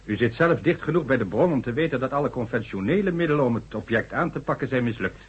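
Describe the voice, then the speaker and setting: An actor playing a formal, dismissive government minister in a radio drama, with a condescending, authoritative manner. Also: male voice, mid-range voice, lively intonation, fast, husky, lo-fi sound. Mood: sardonic impatience. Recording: noisy, humming old radio recording.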